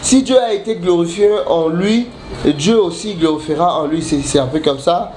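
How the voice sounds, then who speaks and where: A man preaching a sermon while he has a cold, halting and restarting often, then building emphatic repetitions. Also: fairly high pitch, darkish tone. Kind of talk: sermon mixing French and Lingala. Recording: echoey room with faint ambient noise.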